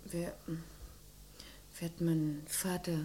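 An actress playing a shy young daughter in a crime radio play, halting and guarded. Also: female voice, fairly low pitch, flat delivery, slight background noise. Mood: hesitant, subdued. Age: young adult. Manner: halting, guarded, soft-spoken. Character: shy, hesitant young office-working daughter. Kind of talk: German radio drama acting.

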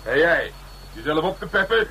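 An actor playing a tough, sardonic police detective who taunts bluntly in a radio crime drama. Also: male voice, fairly high pitch, very animated, moderate pace, pushed and bright. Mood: taunting, firm. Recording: noisy old radio drama recording.

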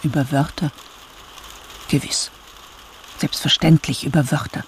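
An actor playing a deliberate, whimsical conversation partner in a radio play. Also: male voice, on the high side, steady tone, a little hiss or hum, lightly acted. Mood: pensive.

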